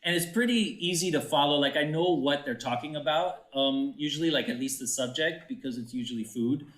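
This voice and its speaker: A man's voice: on the high side, a little fast, fairly bright tone. A man with an American accent chatting casually as an interview guest, searching for his phrases as he talks. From United States. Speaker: actor and voiceover artist, interview guest. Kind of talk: casual chat on a live interview show. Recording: roomy, echoing indoor sound.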